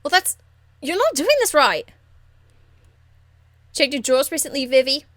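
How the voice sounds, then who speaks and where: A woman voicing a cheeky, crude young street rebel woman from a fantasy game, blunt, slangy and excitable. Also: sits high, moderate pace, no room sound. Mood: needling. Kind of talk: video game character acting.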